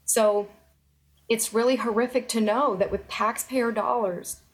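A woman's voice: on the high side, fairly even intonation, unhurried, poor audio. A middle-aged woman speaking as an interview guest, earnest and urgent, pressing her points with emphasis. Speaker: interview guest, former federal employee. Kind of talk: remote news interview.

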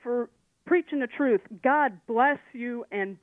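A woman's voice: a little high, takes it slowly, crowd noise. A woman from Romania calling a radio show by phone, speaking earnestly and personally. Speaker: phone-in caller. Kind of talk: earnest personal call-in remarks. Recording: narrow-band phone line.

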